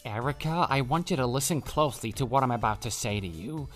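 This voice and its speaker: A man's voice: medium pitch, brisk, fairly bright tone, lightly acted. A man playing a lovesick, pushy young coworker in a scripted radio drama, insistent and pleading, with music behind him.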